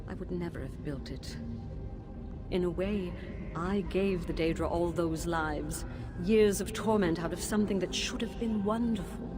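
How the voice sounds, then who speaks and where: An actress playing a grieving, resolute ghostly headmistress and betrayed wife, with a solemn, earnest delivery in a fantasy game. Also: female voice, fairly low pitch, lightly acted. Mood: sorrowful regret. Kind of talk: dramatic fantasy game voice acting.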